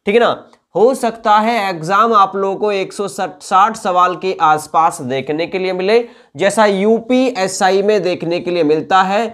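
A man, lecturing informally and emphatically as an exam coach, repeating points and checking that listeners follow. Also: sits high. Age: 20s. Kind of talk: informal explanatory lecture to camera. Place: indoor room with whiteboard.

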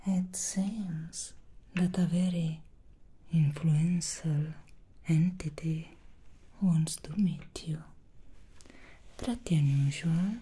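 A woman voicing an alluring, scheming female demon hairstylist in an ASMR roleplay, speaking in a slow seductive whisper. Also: low voice, steady tone, dark tone, husky, exaggerated voice. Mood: sly whisper.

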